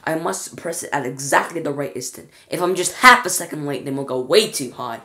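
A woman reading aloud and voicing an eccentric, excitable man who pilots a flying elevator. He is fussy, bossy and quick to exclaim. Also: deep voice.

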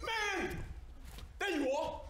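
A man's voice: very high voice, lively intonation, takes it slowly, pushed and bright, far from the mic, full character voice. A man playing a flustered, exasperated security guard in a comedic stage play. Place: theater stage. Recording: very echoey theater, noisy.

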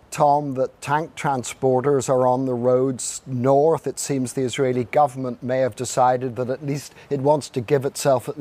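A middle-aged man giving a measured, emphatic live news report as a correspondent. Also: mid-range voice, a little mellow. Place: outdoors at night in a city. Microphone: lapel.

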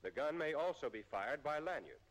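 An American man narrates in the formal style of a 1940s military training film. His voice sits a little high, and he speaks in measured, precisely enunciated sentences. The sound is an old film soundtrack with a long reverberant tail. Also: animated, very noisy.

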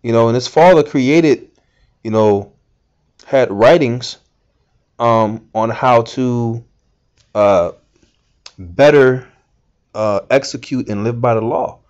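A man's voice: mid-range voice, lively intonation, slow, long pauses, darkish tone, lo-fi sound. A man giving informal scripture teaching, rambling with many fillers and restarts. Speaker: Bible teacher.

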